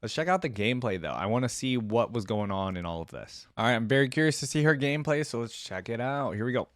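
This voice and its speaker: Man reacting with lively, swinging intonation. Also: mid-range voice, fast, dry recording, clean studio sound. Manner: enthusiastic, exclaims often. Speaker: host of a reaction video. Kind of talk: casual reaction commentary.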